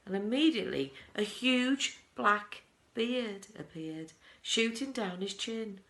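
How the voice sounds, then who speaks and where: An eccentric male inventor from a children's storybook, as a woman reads him, bossy and boastful. The voice swoops up and down excitedly in a roomy, echoing space. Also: mid-range voice, vocal fry. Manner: exclamatory, hurried, boastful, scolding. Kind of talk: children's storybook character reading. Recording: roomy, echoing indoor sound.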